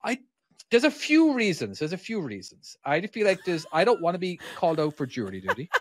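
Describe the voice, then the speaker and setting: A man's voice: high voice, huge pitch swings, fairly quick. A man from Ireland chatting loosely on a podcast as a truck driver, swearing a lot and restarting his sentences. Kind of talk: casual podcast chat.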